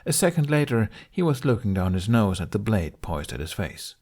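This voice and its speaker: A man's voice: on the low side, sing-song, moderate pace, darkish tone. A man reading a scripted story aloud as an audiobook narrator. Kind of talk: scripted narration of fan fiction.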